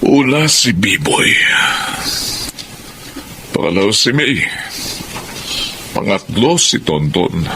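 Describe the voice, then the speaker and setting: A man playing a middle-aged, loving but anguished father in an emotional radio drama, halting and repeating his words. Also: on the low side, sing-song, a bit bright, a little hiss or hum, exaggerated voice. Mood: bitter, angry. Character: grieving Filipino father, loving, anguished, bitterly reproachful.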